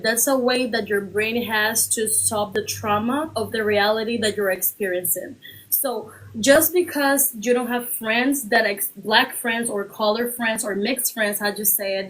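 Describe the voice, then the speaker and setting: A woman with a slightly raised pitch speaks as a guest on a livestream talk. She argues in a steady, deliberate way and drives her points home through repetition. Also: poor audio. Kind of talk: earnest argument on a livestream.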